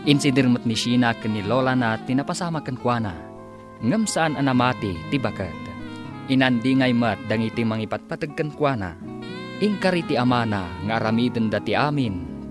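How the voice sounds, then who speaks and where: A man announcing and narrating an Ilocano radio drama in a scripted, drawn-out style. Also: mid-range voice. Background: music.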